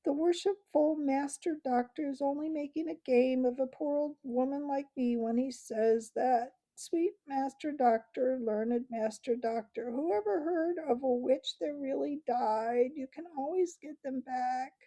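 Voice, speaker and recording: A shrill, whining old hag, put on by a woman reading aloud, fawning over her listeners in a storybook voice pitched far above the reader's own. The tone stays gravelly and dark underneath. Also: measured pace, hardly any pauses. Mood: wheedling. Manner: fawning, wheedling.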